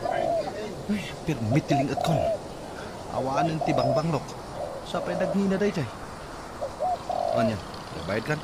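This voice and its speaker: A high, swooping voice from a man acting out a boastful young hothead with an Ilocano accent. He blusters and picks fights in radio comedy style, over heavy noise and birdsong. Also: lively intonation, measured pace, pauses often. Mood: whiny, fussy. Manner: blustering, confrontational. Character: vain, hot-tempered young boyfriend, stingy and boastful. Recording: very noisy audio with bird sounds.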